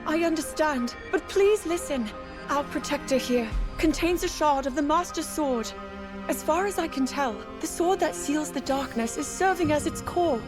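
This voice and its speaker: A gentle young princess played by a woman in a game cutscene. Her voice is high, and she speaks with formal, earnest courtesy. Music plays clearly behind her. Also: natural voice. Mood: earnest, reassuring. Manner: polite, formal, measured. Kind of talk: video game cutscene acting.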